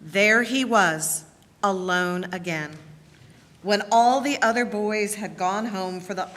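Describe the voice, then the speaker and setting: A woman narrates a scripted story in a bright, projecting voice. She reads at an unhurried pace. The hall gives long reverb, with faint noise. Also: medium pitch. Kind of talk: scripted dramatic reading narration.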